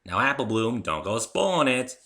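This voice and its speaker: A man's voice: medium pitch, sing-song, moderate pace, a bit bright, voice pushed up. A man voicing a hearty, stubborn young farm mare, a folksy cartoon pony with a Southern country accent, in a story reading.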